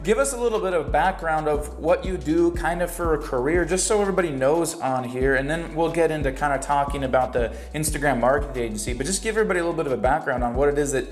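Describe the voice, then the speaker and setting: A man's voice: on the high side, fast talker, few pauses, roomy sound, soft background music. A man hosting an online interview in a casual, upbeat and joking manner. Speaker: host.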